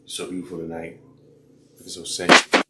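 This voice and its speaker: A man playing a smooth-talking man courting a dance partner, in a slow, crooning, flirtatious murmur. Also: deep voice, completely flat delivery, heavy background noise, close to an everyday voice. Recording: echoey room, mic at a distance.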